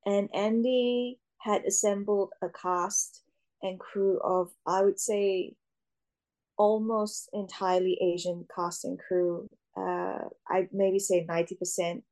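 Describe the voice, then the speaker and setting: A young woman actor answering interview questions, often pausing with fillers and restarting her sentences. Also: medium pitch, steady tone, darkish tone. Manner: hesitant, many fillers and restarts. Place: Australia.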